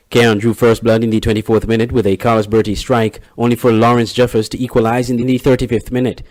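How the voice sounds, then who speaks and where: A man, reporting sports and local news in a steady, measured read. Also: medium pitch. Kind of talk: scripted news reading.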